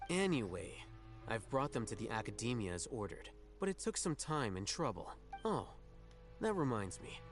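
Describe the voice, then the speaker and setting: A male actor playing a composed, coolly defiant scholar in a video game, with measured, articulate delivery and music underneath. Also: mid-range voice, big pitch swings, close to an everyday voice. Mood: composed, matter-of-fact. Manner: measured, matter-of-fact, articulate. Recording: game dialogue over music.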